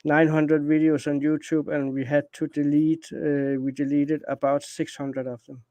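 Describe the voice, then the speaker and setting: A man's voice: fairly high pitch, flat delivery, moderate pace, mellow, no room sound. A middle-aged Danish man speaking English with a Danish accent, rambling casually as an interview guest. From Denmark. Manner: rambling, many restarts and fillers. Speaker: guest, space anomaly researcher.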